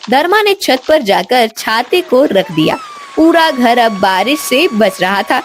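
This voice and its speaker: With music behind her, a woman tells a children's story in a fairly high voice. Her scripted narration is expressive, with lively rises and falls. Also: a little slow.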